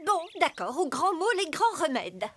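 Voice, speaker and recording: A woman's voice: sits high, sing-song, moderate pace, background noise. A woman voicing an exasperated, sensible cartoon female, insistent, scolding and a little anxious. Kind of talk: cartoon voice acting. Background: loud music.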